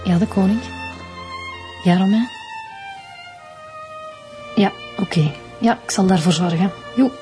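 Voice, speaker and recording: A woman's voice: mid-range voice, takes it slowly, pauses often, mellow, soft background music, natural voice. Actress as a probing Flemish female police inspector. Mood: polite, probing. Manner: hesitant, probing. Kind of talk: radio drama acting.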